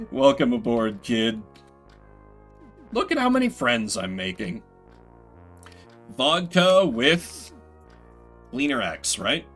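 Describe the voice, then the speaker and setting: A man's voice: mid-range voice, very animated, drawn-out words, pauses often, a bit bright, faint music behind. A man playing a video game as a streamer, mixing casual commentary with theatrical readings of the on-screen text.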